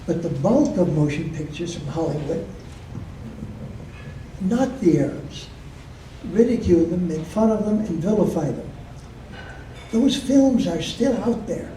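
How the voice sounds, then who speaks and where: An older man from Michigan gives a talk to a club audience in a slow, deliberate delivery full of pauses. His voice is fairly high yet deeply mellow and dark. He is captured from across an echoey meeting room, with a faint hum of room noise behind him. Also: expressive. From Michigan, USA. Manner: slow and measured, with frequent pauses and fillers. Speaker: guest speaker. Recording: roomy echo, heard from a distance.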